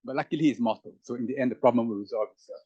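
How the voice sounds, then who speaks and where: A man's voice: medium pitch, very fast, a little mellow, slightly rough, no echo, highs cut off. A man speaking as a roundtable panelist, musing through rhetorical questions with emphatic rises in his voice. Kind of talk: animated reflective public talk.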